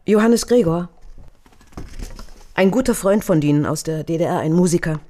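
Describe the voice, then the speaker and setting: A woman's voice: fairly low pitch, animated, moderate pace, mellow, close to an everyday voice. An actress playing a reserved woman answering a detective in short phrases in a German radio crime drama.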